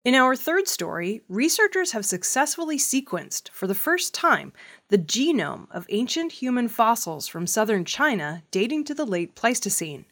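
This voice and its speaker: A woman's voice: on the high side, big pitch swings, moderate pace, fairly bright tone. A woman news reader delivering a scripted audio news report.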